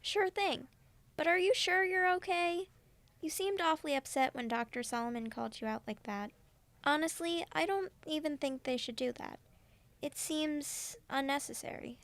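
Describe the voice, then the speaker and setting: A woman's voice: sits high, moderate pace, a bit bright, no echo. A woman playing an easygoing, supportive student friend in an audio drama, talking in a casual, encouraging way. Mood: concerned, friendly.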